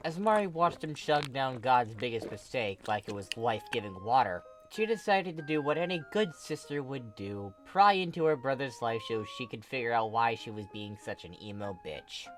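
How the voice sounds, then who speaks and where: A narrator giving an expressive amateur reading of fan fiction. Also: medium pitch, normal speed, few pauses, faint music behind.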